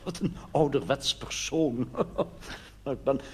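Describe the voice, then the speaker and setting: A man playing a doddering, old-fashioned fellow in a cabaret monologue, halting and repeating himself. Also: medium pitch, darkish tone, slightly rough. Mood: halting, homely. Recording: hissy old live theatre recording, long reverb.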